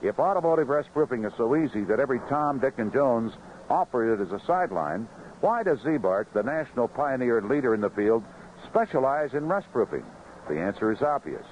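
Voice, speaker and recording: American baseball announcer, dull old radio sound. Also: male voice, medium pitch, very animated, a little fast, a little mellow. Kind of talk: 1970s radio scoreboard report and sponsor reads. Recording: dull, faintly noisy 1970s AM radio.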